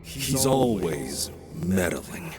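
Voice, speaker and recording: A man playing a sly inner voice of guilt in an audio fantasy drama, slow, taunting and insinuating. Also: deep voice, very animated, music in the background, heavily acted.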